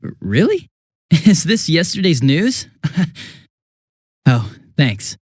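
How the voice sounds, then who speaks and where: An actor playing a bumbling, chatty young police detective in a video game dub, with an American accent and a sheepish, stammering, casual manner. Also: male voice, fairly high pitch, wildly swooping pitch, slow pace, slightly rough, no echo, studio quality, heavily acted. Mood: friendly, goofy.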